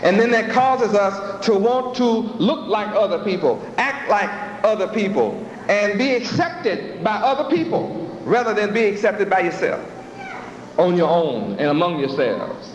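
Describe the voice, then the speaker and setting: A man's voice: high-pitched, big pitch swings, a little slow, a little hiss or hum. A man preaching a sermon with rhetorical questions and a repetitive cadence. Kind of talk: sermon with acted dialogues. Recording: echoey hall, dull lo-fi sound.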